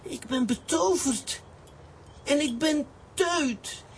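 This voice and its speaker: High, cheeky little talking coffee pot. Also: female voice, takes it slowly, slight background noise. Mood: curious. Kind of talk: children's comic-strip radio play acting.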